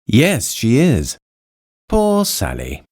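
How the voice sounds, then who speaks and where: A man reading lines of English course dialogues in an expressive, sing-song way. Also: mid-range voice, very slow. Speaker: dialogue reader in a language course. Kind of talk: slow, clearly read textbook dialogue.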